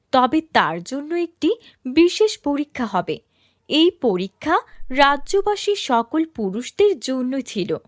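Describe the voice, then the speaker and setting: Woman, fairy-tale narrator, scripted, smooth storytelling, lively melody. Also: sits high, no room sound, studio quality.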